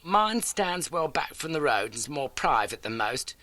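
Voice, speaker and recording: A man's voice: on the high side, big pitch swings, moderate pace, sharp tone, no room sound, pitched above the performer's own voice. A man voicing an elderly, motherly working-class landlady in an audiobook, garrulous, earnest and folksy. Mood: chatty, earnest. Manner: chatty, folksy, dramatic storytelling. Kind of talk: audiobook character acting.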